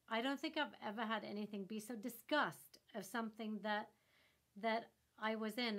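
Norwegian-born actress guest in her 30s, eager and gesturing, webcam call from home. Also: a little high. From Norway. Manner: enthusiastic, animated with hand gestures. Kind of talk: remote interview answers. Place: home room in front of a floral wall. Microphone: laptop webcam on a video call.

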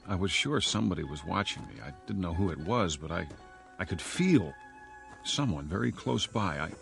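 A lost, confused man in a radio drama. His voice is very low with a gravelly creak, and he stammers and rambles nervously, questioning himself. Music plays behind him. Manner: halting, stammering, self-questioning. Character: bewildered amnesiac man, rambling and nervously chatty. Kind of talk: radio drama first-person monologue.

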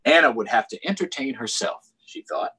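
Casting: American man, middle-aged, slightly husky voice, very animated children's storytime reader who explains things as he goes. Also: medium pitch, normal speed, low-quality recording. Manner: expressive, explaining to young children. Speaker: storytime host. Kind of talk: children's storytime read-aloud with chatty asides. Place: small room at home, indoors. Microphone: computer or tablet on a video call.

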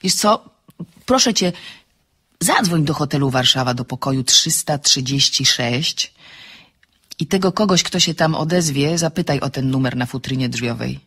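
An actress playing an insistent, flippant woman in a comic radio drama, brisk and impatient as she pushes. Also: a bass voice, lively intonation, a bit bright, breathy edge, exaggerated voice. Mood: urgent, persuasive. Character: insistent, flippant woman pressing a friend for a favour.

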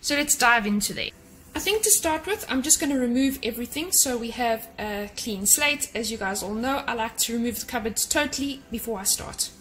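A woman in her thirties chats through her kitchen clean-out, with a slightly high voice and a friendly, practical way of passing on tips. She talks close to a camera mic in a home kitchen. Soft music plays faintly underneath. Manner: chatty and practical, gives tips directly to viewers. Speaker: cleaning vlogger. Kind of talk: casual how-to narration to camera.

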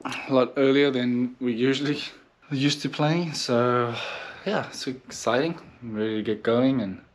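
Relaxed South African man, softened accent. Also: medium pitch, a little slow. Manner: relaxed, matter-of-fact self-filming. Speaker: professional baseball pitcher vlogging. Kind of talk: casual video diary. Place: hotel room and city street. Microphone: phone camera at arm's length.

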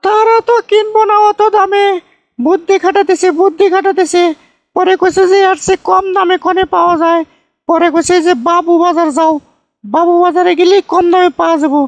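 Bossy, boastful cartoon auntie: piercingly high pitch, dry close sound, Bogra accent, folksy scolding delivery. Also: fairly even intonation, brisk, no room sound. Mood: smug storytelling. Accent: northern Bangladeshi (Bogra). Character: bossy scolding village aunt, boastfully foolish, middle-aged woman. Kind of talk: comic cartoon dialogue, rambling storytelling.

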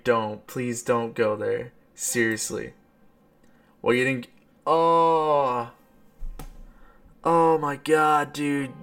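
A man's voice: on the high side, fairly bright tone. Young man reading aloud over game music. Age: young adult. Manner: exclamatory, slangy asides. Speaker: let's play streamer. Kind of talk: reading a visual novel aloud with casual reactions. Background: background game music.

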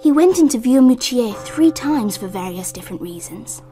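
A woman playing a thoughtful young girl, measured and earnest as she tells a radio drama story. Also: a little high, lively intonation, mellow, soft background music, natural voice. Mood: reflective. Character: thoughtful young English girl recounting a summer. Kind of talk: radio drama narration and acting. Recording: faint background noise.

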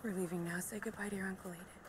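A woman's voice: mid-range voice, fairly even intonation, racing, background noise, natural voice. An actress playing a protective mother speaking to her child in a video game scene. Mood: gentle, firm. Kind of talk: video game cutscene acting.

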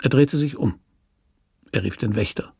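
A man narrating a literary story from a script in a measured, sober manner. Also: medium pitch, creaky, mellow, slightly rough, no echo, clean studio sound.

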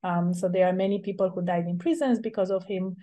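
Mellow-voiced woman lecturing. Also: on the low side, fairly even intonation. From Romania. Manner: earnest, measured explaining with hesitant pauses. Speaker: invited speaker. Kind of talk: academic webinar talk. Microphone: video call.

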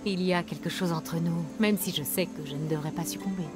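A woman's voice: on the low side, fairly even intonation, fairly quick, close to an everyday voice. Tender young huntress, played by a woman, over music. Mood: tender, intimate. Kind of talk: dramatic game dialogue. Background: background music.

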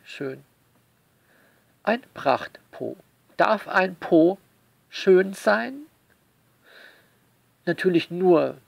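A man speaks slowly in a fairly high, creaky voice, close and dry. He comments to his listeners with theatrical sarcasm and mock indignation, stretching key words for emphasis. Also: no room sound. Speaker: author commenting on their own novel. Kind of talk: sarcastic spoken essay addressed to listeners.

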